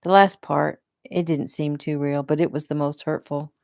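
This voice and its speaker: A woman reading the part of a vulnerable but defiant young woman who speaks softly. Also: low-pitched, steady tone, normal speed, creaky, dry recording, clean studio sound. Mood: hurt. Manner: soft, pleading.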